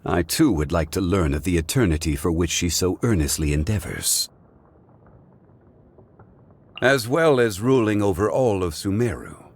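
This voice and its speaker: An actor voicing a calm, ancient, scholarly male god in a video game, speaking in a measured, formal and eloquent way. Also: fairly low pitch, husky, full character voice. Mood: solemn, admiring. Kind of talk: scripted video game character voice lines.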